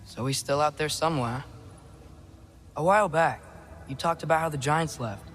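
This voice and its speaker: A man's voice: on the high side, normal speed, fairly bright tone, lightly acted. A young man playing an earnest, guarded teenage boy hero in a video game, hesitant and stammering when flustered. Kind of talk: naturalistic video game acting.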